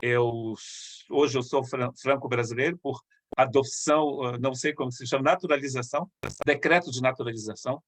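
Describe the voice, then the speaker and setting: A middle-aged man from Rio de state, a missionary pastor speaking to a church in a conversational, halting way with restarts. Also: mid-range voice, fairly bright tone, no room sound. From Brazil, Rio de Janeiro state.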